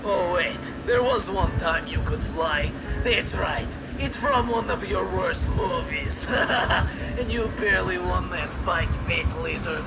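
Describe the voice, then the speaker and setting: A man's voice: high-pitched, slow pace, vocal fry, heavily acted, rough recording. Man voicing a sneering, vain cartoon dragon. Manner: taunting, sneering, bossy. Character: mocking, vain head of three-headed golden dragon. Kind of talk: comedic cartoon comic dub. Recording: loud music under the voice.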